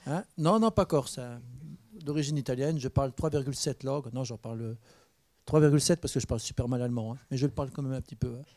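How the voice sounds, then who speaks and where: A man's voice: medium pitch, fast, no room sound. Joking French man on a panel. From France. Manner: relaxed, joking, many fillers. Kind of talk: conference panel talk. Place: university conference room. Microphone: handheld mic.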